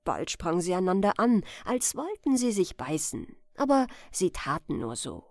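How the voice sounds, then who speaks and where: A woman reading a bedtime fairy tale as an expressive, gentle audiobook narrator. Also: on the low side, normal speed, no room sound, clean studio sound. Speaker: storyteller, audiobook reader.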